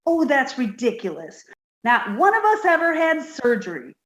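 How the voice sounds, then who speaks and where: A woman's voice: high-pitched, huge pitch swings, normal speed, roomy sound. A woman playing a sweet, naive, scatterbrained young secretary in an old-time radio comedy, earnest and easily distracted. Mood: sleepy, earnest.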